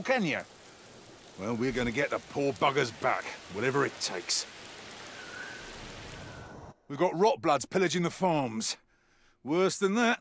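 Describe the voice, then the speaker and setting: An actor playing a wry, world-weary mercenary soldier in a video game, with a colloquial, grumbling delivery. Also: male voice, on the high side, fairly bright tone, slightly rough, full character voice. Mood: grim, determined. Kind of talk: scripted in-character mission briefing monologue.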